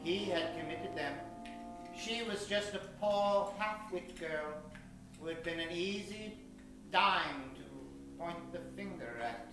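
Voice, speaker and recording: Man acting a slow, high-pitched radio narrator. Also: a bit bright. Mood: ominous. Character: melodramatic horror-show radio narrator and cigarette pitchman. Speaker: narrator and announcer. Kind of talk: dramatic old-time radio narration. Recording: echoey room, distant mic, music behind.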